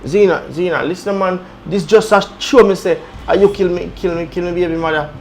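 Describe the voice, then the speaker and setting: A timid young Jamaican man, played by a man, stammering and pleading in patois. His voice sits somewhat high, over faint background noise. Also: brisk, creaky.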